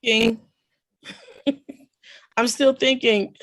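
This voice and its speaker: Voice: medium pitch, steady tone, very slow, fairly bright tone. Reflective, hesitant voice on a video call. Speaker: meeting participant.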